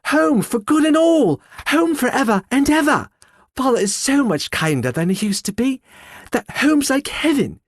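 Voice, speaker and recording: A man's voice: dry recording, clean studio sound. A man voicing a gleeful little girl. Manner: bright, breathless, high-pitched chatter. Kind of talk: audiobook character voice.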